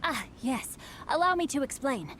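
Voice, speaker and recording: A woman's voice: sits high, very animated, normal speed, a bit bright, a little hiss or hum. A woman voicing a courteous, self-assured, bookish young nobleman in a video game, speaking formally and eloquently with a theatrical touch.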